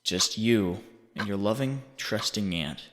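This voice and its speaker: A man playing a haunting inner voice, narrating in the second person with deliberate, accusing, dramatic delivery. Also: fairly low pitch, animated. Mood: somber, accusing. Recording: long reverb, faint background noise.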